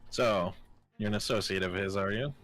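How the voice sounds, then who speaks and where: A very low-pitched, suave detective from a video game , acted out by a man. The delivery is theatrical and deliberate, as if presenting a case. Music plays faintly behind. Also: a bit bright, lightly acted. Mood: accusing. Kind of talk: fan-dub game dialogue reading.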